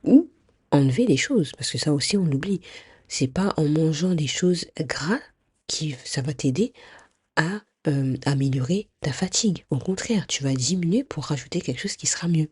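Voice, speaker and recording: A woman's voice: sits low, expressive, moderate pace, darkish tone, breathy edge, dry recording, studio quality. A woman hosting a podcast, chatting directly to the listener with a stuffy nose, many fillers and restarts. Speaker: podcast host. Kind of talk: casual advice podcast monologue.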